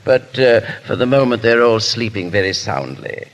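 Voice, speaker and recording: A man's voice: medium pitch, animated, moderate pace, lightly acted. A man acting a suave, foppish English aristocrat hero in a 1930s radio drama, drawling and theatrically polite. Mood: mocking, breezy. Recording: reverberant 1930s radio broadcast.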